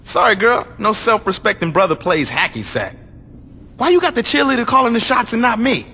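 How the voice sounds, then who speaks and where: An actor playing a cocky, aggrieved young gangster sidekick, complaining in slang, in a video game cutscene. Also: male voice, high voice, expressive, fairly quick, bright, forceful tone, husky, long reverb tail, exaggerated voice. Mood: aggrieved, pleading.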